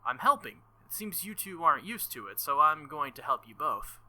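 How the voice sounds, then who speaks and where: A man's voice: a little high, big pitch swings, moderate pace, bright, forceful tone, heavily acted. A man reading the lines of a bossy, teasing teenage girl mage from a visual novel, in his own voice. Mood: coaxing.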